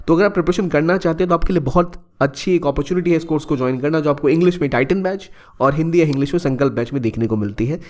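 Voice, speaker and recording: A young man from India talks students through a current-affairs issue in a relaxed, conversational way, slipping English phrases into his sentences. His voice sits a little higher than most men's. He often stops and restarts a word to correct himself. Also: very fast. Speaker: exam-prep educator. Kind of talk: explanatory current-affairs talk.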